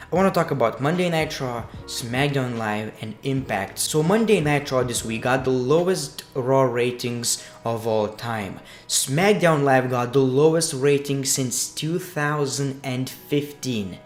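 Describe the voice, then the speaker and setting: Lithuanian man giving blunt wrestling takes. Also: mid-range voice. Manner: blunt, opinionated, with a dry crude humor. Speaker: wrestling news vlogger. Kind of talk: casual commentary. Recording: echoey room with music underneath.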